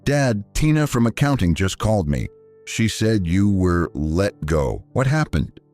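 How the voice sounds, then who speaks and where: Male narrator as grown daughter, sharp lawyer, protective, direct, lively intonation, audio story, background music. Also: fairly low pitch, measured pace. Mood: worried. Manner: assertive, direct.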